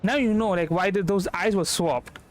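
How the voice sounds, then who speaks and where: A man from India casually commenting on a game as a streamer, halting, repetitive and informal. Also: sits high. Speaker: streamer, VTuber.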